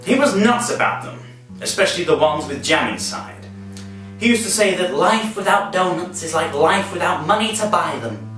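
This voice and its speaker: A man tells a comic story with playful, mock-formal flair. His pitch swings in a lively way. The sound is heavily echoed and set back from the mic, with music underneath. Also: moderate pace. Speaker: storybook reader. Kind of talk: scripted comic storytelling with asides. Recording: echoey, distant voice with music behind.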